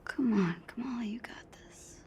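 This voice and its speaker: Eager, high-voiced teenage girl hacker played by a woman. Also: moderate pace, darkish tone, slight background noise, close to an everyday voice. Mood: focused muttering. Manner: casual, geeky, eager. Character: excitable teenage girl hacker and superhero fan. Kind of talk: video game acting, muttering to self.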